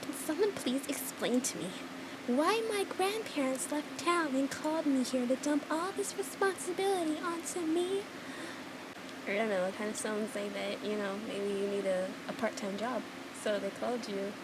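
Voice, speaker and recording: A woman's voice: sits high, moderate pace, noisy background. A young adult woman in a casual reaction chat as a video creator, self-deprecating and giggly, reading bits aloud. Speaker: video creator reacting to own visual novel. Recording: loud background music under voice. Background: background music.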